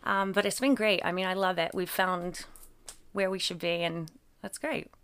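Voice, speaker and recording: A woman's voice: fairly low pitch, steady tone, moderate pace, fairly bright tone, clear, resonant tone. A woman from England in casual conversation as an interview guest, with a mostly American accent that carries occasional English traces. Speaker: podcast guest, artist.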